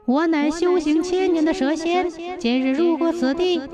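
A narrator voicing a scheming thousand-year-old snake spirit with a human head, speaking in a slow, coaxing way. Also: very high-pitched, steady tone, music in the background, pitched above the performer's own voice.

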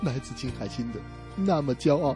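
A man's voice: mid-range voice, lively intonation, brisk, dark tone. A man co-hosting a scripted comedy radio show, telling jokes and bantering as the exasperated straight man. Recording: music bed behind voice.

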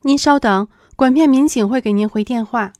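A woman's voice: fairly high pitch, normal speed, a little mellow, no room sound, studio quality, natural voice. A woman acting a polite, matter-of-fact emergency call operator in a radio drama. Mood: polite, businesslike. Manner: polite, procedural.

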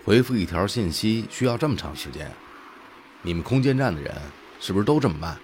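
A man's voice: lowish voice, sing-song, fast talker, darkish tone, a little hiss or hum. An actor playing an impatient, blunt young man who works at a radio observatory, in a Mandarin audio drama. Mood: impatient, irritated.

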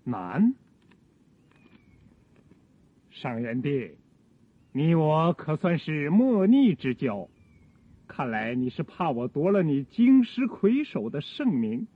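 A theatrical gentleman scholar, high and lilting with a husky grain. He speaks slowly in ornate phrases, teasing and effusive, on a phone-like period radio drama recording. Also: sing-song, full character voice. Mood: offended, pressing. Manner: theatrical, florid classical phrasing.

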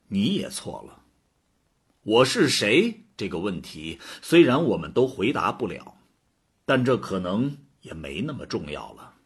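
An actor playing God as a calm, hopeful, philosophical creator in a radio drama, with a husky, breathy voice. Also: male voice, medium pitch, heavily acted. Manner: gentle, philosophical, unhurried.

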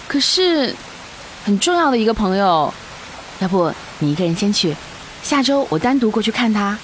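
Lovestruck young female student played by a woman, coy, pleading, expressive swings, faint hiss, radio drama. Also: medium pitch, normal speed, natural voice, low-quality recording. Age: young adult. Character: lovestruck, coaxing young student, devoted daughter and girlfriend. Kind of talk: Chinese radio drama acting. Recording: faint hiss, rain-like noise.

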